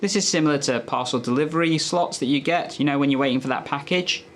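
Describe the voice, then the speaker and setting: A man's voice: fairly high pitch, fast talker, a little hiss or hum. A man presenting health tips as a doctor, explaining in a conversational, instructive way to viewers.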